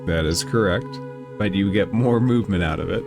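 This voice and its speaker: A man's voice: deep voice, fairly quick, vocal fry, over music. A man narrating a tabletop role-playing game as the game master.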